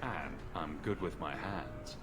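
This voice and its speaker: An actor playing a cheeky, joking adventurer hero in a fantasy video game. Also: male voice, a bass voice, slow. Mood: flirtatious. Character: wisecracking, flirtatious male adventurer hero. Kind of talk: video game dialogue acting. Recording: game audio with music underneath. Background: music.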